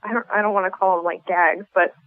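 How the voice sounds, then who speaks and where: A woman's voice: mid-range voice, fairly even intonation, quick pace, no echo. Casting: female playwright interviewee, casual conversational delivery, dry and slightly lo-fi sound.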